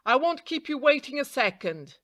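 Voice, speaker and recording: A woman's voice: high voice, sing-song, normal speed, fairly bright tone, no room sound, voice pushed up. Woman playing talking donkey, storybook, sly, put-upon, wheedling, raised voice, dry sound.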